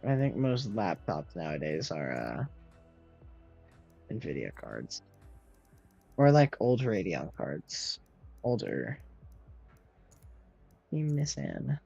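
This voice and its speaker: A young man in his late teens with a loud, projecting voice, rambling casually to his viewers while he games and answers their messages. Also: low-pitched, sing-song, slow, creaky, long pauses, husky. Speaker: streamer. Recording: slightly muffled, faint game music behind.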